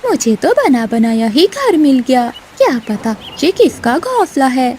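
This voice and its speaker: High female voice, woman playing a bossy crow, dramatic, very animated intonation, cartoon, background noise. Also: measured pace. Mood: smug. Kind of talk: Hindi children's cartoon voice acting.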